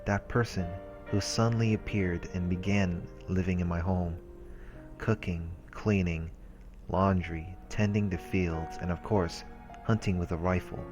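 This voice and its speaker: A man with a very deep voice narrates a visual novel as a let's play host. He reads the written story aloud line by line. Faint game music plays behind him. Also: steady tone, measured pace, lo-fi sound. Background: soft game music.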